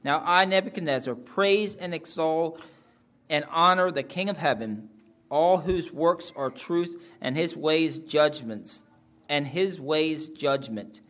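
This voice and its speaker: Man slowly reading scripture aloud. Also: on the high side, a bit bright. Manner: slow, deliberate reading with small stumbles. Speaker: scripture reader.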